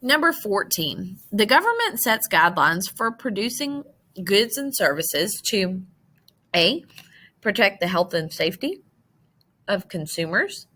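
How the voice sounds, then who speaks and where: Bright-voiced woman reading a quiz aloud. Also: mid-range voice, big pitch swings, measured pace. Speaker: teacher. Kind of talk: reading a multiple-choice test aloud for students.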